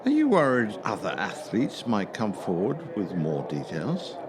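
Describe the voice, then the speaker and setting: A man's voice: fairly low pitch, huge pitch swings, measured pace, background noise. A man acting in a radio play as a probing, insistent interviewer questioning sports figures. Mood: formal, probing.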